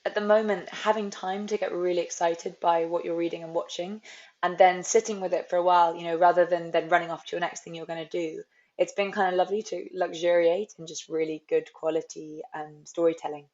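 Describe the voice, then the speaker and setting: A woman's voice: fairly low pitch, flat delivery, brisk, a little mellow. A young woman from London with a London accent, an author talking reflectively in an interview, often restarting her sentences. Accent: London English. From London, England. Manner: thoughtful, rambling with restarts. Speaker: author guest. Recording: slightly dull, lo-fi audio.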